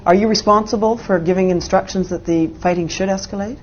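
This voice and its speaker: Woman journalist, medium pitch, direct probing questions, formal news interview, slightly muffled archive recording. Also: steady tone, fairly quick, a little hiss or hum. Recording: dull archive interview audio.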